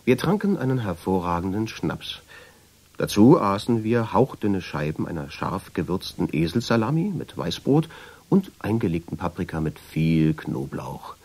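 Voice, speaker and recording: An actor playing a reflective traveller who tells his story in measured, deliberate radio drama narration, with a husky voice. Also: darkish tone, full character voice. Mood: reminiscing. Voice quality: low, husky voice. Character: reflective male traveller, drawn and obsessive, telling his story. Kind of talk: literary radio drama narration in first person.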